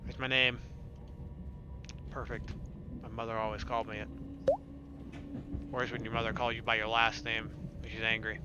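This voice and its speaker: A man's voice: on the low side, monotone, moderate pace, pauses often, muffled recording, very noisy. A man hosting a casual let's-play, reading game text aloud and adding joking, sarcastic asides. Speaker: gaming video host. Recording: game music behind the voice.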